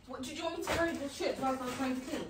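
A woman's voice: high voice, very fast. A teenage girl, the vlogger's daughter, chatting cheekily and teasing her mother. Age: teenager, sixteen. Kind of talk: casual family banter. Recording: distant phone mic in an echoey home with loud noise.

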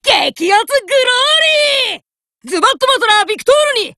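生意気で熱血な少年カードファイター. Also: female voice, extremely high pitch, sing-song, brisk, no room sound, heavily acted. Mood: fired up. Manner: boastful, hot-blooded, shouts chants. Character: brash cocky young male card fighter. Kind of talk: game voice lines. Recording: dry studio recording.

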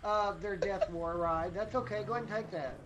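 A man's voice: high-pitched, a little fast, lo-fi sound, a loud crowd. A man chatting casually with teammates while live streaming a game. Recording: noisy game voice chat.

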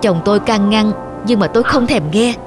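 A woman's voice: mid-range voice, a little fast, lightly acted. A woman playing a lonely, regretful middle-aged woman who lives alone, in a cartoon. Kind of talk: Vietnamese cartoon voice acting. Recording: music under the voice.

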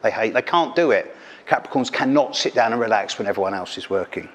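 A man's voice: on the low side, animated, quick pace. A middle-aged man from England performing a caustic, teasing stand-up routine on a stage, speaking into a handheld mic. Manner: caustic, teasing, punchy delivery. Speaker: stand-up astrologer. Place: stage of an event hall.

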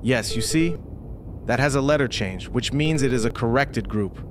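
Man narrating instructions over clear background noise. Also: mid-range voice, animated. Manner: measured, step-by-step instructing. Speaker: tutorial narrator. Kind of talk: scripted instructional narration for a game.

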